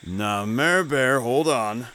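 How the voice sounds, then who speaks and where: A man's voice: medium pitch, animated, takes it slowly, fairly bright tone. A man playing an easygoing, teasing older companion in an audio drama, laid-back and ribbing. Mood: easygoing, chiding. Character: teasing, easygoing old man, mentor-like friend.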